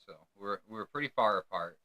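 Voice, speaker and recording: Bright-voiced podcast host man. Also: fairly low pitch, animated, a little fast, slight background noise. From New York. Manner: stumbling, repeats words while asking questions. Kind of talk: hosting a casual podcast interview.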